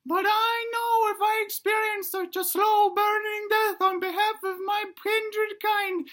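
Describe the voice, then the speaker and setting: Tiny boy dinosaur, acted by a woman, very high, bright, theatrical, old-fashioned phrasing, comic storytelling. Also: flat delivery, a little slow. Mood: grand, solemn. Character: frightened, earnest little boy dinosaur, pompously self-sacrificing.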